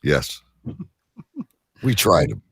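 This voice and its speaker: Male host, low register with lots of movement, loose rambling live-show banter, close dry sound. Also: sing-song, extremely slow, a bit bright, husky, dry recording. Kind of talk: casual live show chat.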